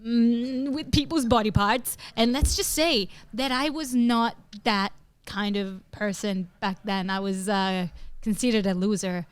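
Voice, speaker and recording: A young adult woman from northern Italy co-hosting a podcast in casual chat, telling animated stories and leaping into high voices for quotes. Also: unhurried, clear, resonant tone, no echo. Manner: animated storyteller, jumps into high acted quotes. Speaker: podcast co-host.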